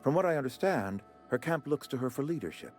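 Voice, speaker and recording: An actor playing a pompous, genteel company-town administrator in a video game, speaking in formal, florid, emphatic phrases. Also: male voice, medium pitch, sing-song, fast talker, darkish tone, soft background music, close to an everyday voice. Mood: earnest persuasion. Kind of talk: video game character acting.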